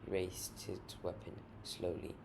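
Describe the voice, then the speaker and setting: A man reading his own short story as a dramatic narration, with a gravelly voice and a grave, literary delivery. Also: sits low, flat delivery, unhurried. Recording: noisy amateur home recording.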